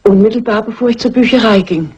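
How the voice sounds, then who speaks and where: An actress playing a timid, easily upset lady's companion in a German radio crime drama, anxious, prim and husky. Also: a little high, fast, a little mellow, low-quality recording. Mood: timid, nervous.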